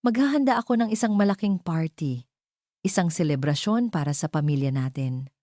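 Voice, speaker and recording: A female narrator plays a scheming, possessive woman in a romance audio story. Her voice is dark and mellow, recorded dry. She coaxes with sugary, insinuating sweetness over a cold core. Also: sits low, lively intonation, brisk, no echo. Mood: coaxing.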